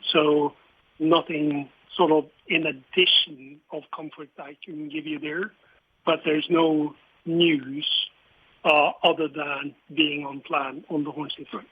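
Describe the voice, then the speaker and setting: A man answering as a company executive on an earnings call, in measured, broken phrases. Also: fairly high pitch, fairly even intonation, breathy edge. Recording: phone-like line with faint noise.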